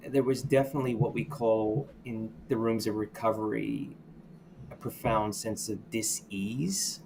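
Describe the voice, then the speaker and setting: Low-voiced man telling his story. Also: vocal fry, slight background noise. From United States. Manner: reflective, halting, with many restarts and "you know". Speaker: podcast guest. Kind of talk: candid personal storytelling.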